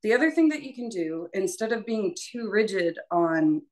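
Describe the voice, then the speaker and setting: A woman voice teacher leading an informal prep session, explaining things in a halting, conversational and encouraging way. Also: medium pitch, big pitch swings. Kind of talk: informal spoken lesson.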